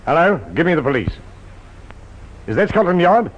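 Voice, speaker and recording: A worried garage owner in an old radio play. His high, excitable voice is earnest and urgent, caught on a muffled, faintly noisy recording. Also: moderate pace. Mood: urgent, wary. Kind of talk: 1950s radio drama acting.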